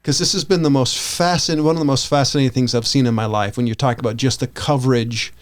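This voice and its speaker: A man co-hosting a podcast, talking through his opinions casually in a halting, rambling way with frequent restarts. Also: medium pitch, steady tone. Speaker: podcast co-host.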